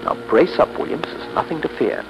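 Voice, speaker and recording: An actor playing a stubborn, dismissive man in a 1930s radio drama, brisk and commanding with his companion. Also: background noise, lightly acted. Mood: scoffing confidence. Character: stubborn, dismissive skeptic determined to face a ghost. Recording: muffled 1930s radio recording.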